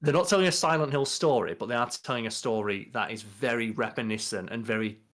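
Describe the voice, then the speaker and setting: Young guy on a podcast, normal pitched voice, kinda rambly explaining stuff casually. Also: a little fast, a bit bright. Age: young adult. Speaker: podcast co-host. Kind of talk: casual movie chat. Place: bedroom, on a video call.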